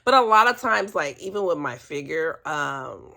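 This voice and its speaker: A woman's voice: deep voice, very animated, normal speed, creaky, bright, forceful tone. A woman telling a personal story in a casual, candid and chatty way, often amused and hesitant as she picks her words. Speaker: vlogger telling personal stories.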